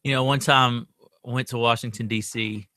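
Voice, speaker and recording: A man in his thirties co-hosting a comedy podcast, bantering casually with a dry, deadpan delivery. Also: medium pitch, normal speed, no echo. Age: 30s.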